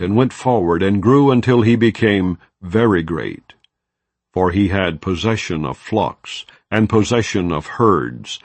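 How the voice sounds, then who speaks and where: Deep-voiced man reading scripture. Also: no echo. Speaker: Bible reader.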